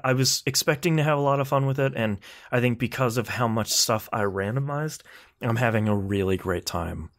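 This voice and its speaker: Man chatting casually as a gaming podcast host. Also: mid-range voice. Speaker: video game podcast host. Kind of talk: casual podcast chat about video games.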